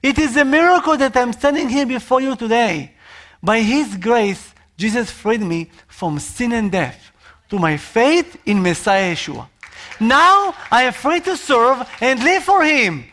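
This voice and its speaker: A man with an Israeli accent preaches a sermon with earnest, expressive delivery. His voice sits notably high for a man. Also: measured pace. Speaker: missionary.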